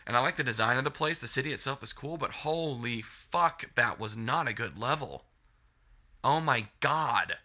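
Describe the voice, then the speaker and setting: A man's voice: mid-range voice, bright, forceful tone. Sniffly, swearing man ranting about games. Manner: frustrated, rambling, heavy swearing. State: sniffly from a cold. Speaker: video game reviewer. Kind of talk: casual ranting game review.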